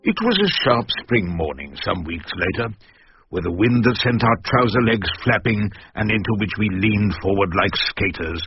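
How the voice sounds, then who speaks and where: An actor playing a wry older barrister who narrates his own memoir in a measured, literate radio drama style. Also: lowish voice. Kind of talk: scripted first-person radio drama narration. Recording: dull lo-fi radio recording.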